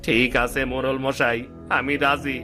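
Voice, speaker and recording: A man's voice: medium pitch, flat delivery, a little slow, sharp tone, faint music behind. A man playing a sly, envious village sugarcane thief in a cartoon moral story, with a rural Bangladeshi Bengali dialect and a wheedling manner. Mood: pleading.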